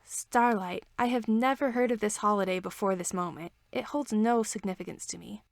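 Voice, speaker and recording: A woman voicing a reserved, gentle male alien scientist who speaks formally in a storybook reading. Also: medium pitch, gravelly, no room sound, clean studio sound, close to an everyday voice. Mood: tender, assured. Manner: formal, measured wording.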